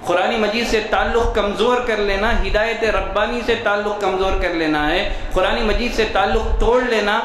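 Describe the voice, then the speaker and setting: A middle-aged man, an imam, giving a Friday sermon into a headset mic, declaiming and slowing down to recite verses. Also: high voice, a crowd in the background. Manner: declaiming, quoting Arabic verses slowly. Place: mosque prayer hall. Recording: echoey hall with background noise.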